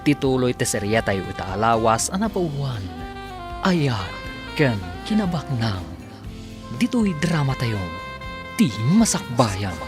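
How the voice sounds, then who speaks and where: Slow, dramatic male announcer over music. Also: mid-range voice. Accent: Filipino, Ilocano. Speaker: radio drama announcer and narrator. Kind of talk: dramatic scripted narration.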